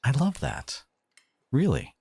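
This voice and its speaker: A man acting a devoted, protective boyfriend in an audio roleplay, speaking in an earnest, halting, conversational way with an edge of sarcasm. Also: medium pitch, very animated, creaky, darkish tone, a little hiss or hum, exaggerated voice. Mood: proud, tender. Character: devoted, protective boyfriend confronting his partner's family, adult man.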